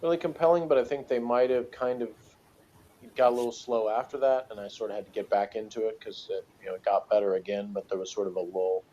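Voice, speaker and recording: A man's voice: fairly low pitch, fairly even intonation, fast, warm tone. A man chatting casually as co-host of a review show, explaining himself in a hesitant, self-qualifying way. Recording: slightly dull, lo-fi sound.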